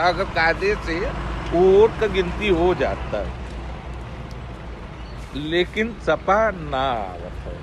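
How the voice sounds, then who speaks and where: A man from eastern Uttar Pradesh with a Bhojpuri-influenced accent, chatting casually and emphatically, repeating himself for stress. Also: on the high side. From eastern Uttar Pradesh, India. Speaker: college teacher and election duty worker. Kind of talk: casual chat. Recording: noisy outdoor street and vehicle sound.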